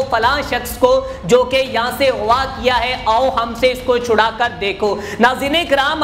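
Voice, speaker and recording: High-pitched Pakistani woman delivering indignant commentary. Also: a bit bright. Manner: rhetorical, admonishing. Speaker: news commentator. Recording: roomy echo, faint background noise.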